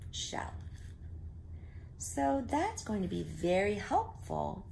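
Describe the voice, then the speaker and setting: A woman reading a story aloud to young children, explaining simply with drawn-out emphasis like a teacher. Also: mid-range voice, gravelly, a little hiss or hum, poor audio.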